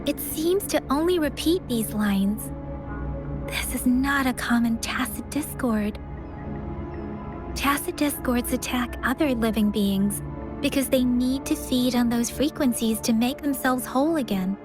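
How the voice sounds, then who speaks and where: Gentle young female game scout: high voice, unhurried, earnest, perceptive, music underneath. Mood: grave, pondering. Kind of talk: video game voice acting. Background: background music.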